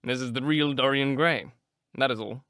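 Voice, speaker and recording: A man reading aloud, voicing a witty, languid aristocratic gentleman with an airy, amused manner. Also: medium pitch, normal speed, dry recording, studio quality, close to an everyday voice.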